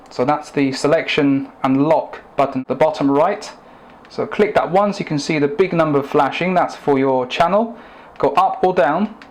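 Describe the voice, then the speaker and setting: A man giving a product review and demonstration in a measured, plain-spoken, instructive way. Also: mid-range voice.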